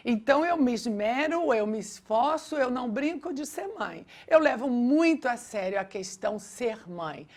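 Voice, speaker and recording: A woman's voice: fairly high pitch, expressive, moderate pace, few pauses, a little mellow. A middle-aged Brazilian Portuguese-speaking woman teaching a lesson with personal anecdotes in an emphatic way, acting out reported dialogue. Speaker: teacher of a religious education lesson.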